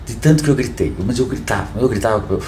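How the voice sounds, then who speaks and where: A hoarse Brazilian man with a mid-pitched voice speaks casually on a podcast. He is emphatic, repeating phrases and restarting mid-thought, in an echoey room with faint noise. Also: fast, dark tone. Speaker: podcast host, hair tools business owner. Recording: roomy echo, faint background noise.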